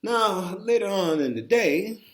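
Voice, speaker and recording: Man telling a folksy, animated personal story. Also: sits high, a little slow. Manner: animated, folksy, with asides to the listener. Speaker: reader of a personal chronicle. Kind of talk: conversational first-person storytelling.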